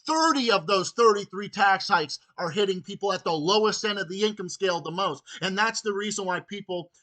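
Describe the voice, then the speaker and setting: A man's voice: high-pitched, brisk, bright, forceful tone, rough recording. A man in his late twenties talking politics in an emphatic, repetitive way, stammering over his words. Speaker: political ranting show host. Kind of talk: political phone conversation.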